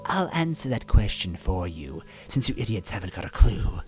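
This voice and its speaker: A woman's voice: extremely low pitch, sing-song, moderate pace, darkish tone, soft background music. A woman voicing a hideous, gleefully cruel bean witch in a storybook reading, taunting with a theatrical sneer. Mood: hissing menace.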